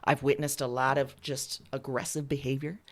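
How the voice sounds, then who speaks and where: A woman's voice: very deep voice, little pitch movement, normal speed. A woman co-hosting a podcast in casual, unscripted chat, with a dry, mock-serious sense of humor. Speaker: podcast co-host.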